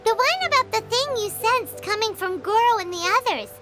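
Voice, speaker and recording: A woman voicing a tiny, excitable fairy-like girl companion in a game, eager and quick to blurt things out. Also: extremely high pitch, loud music behind the voice. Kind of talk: game voice acting.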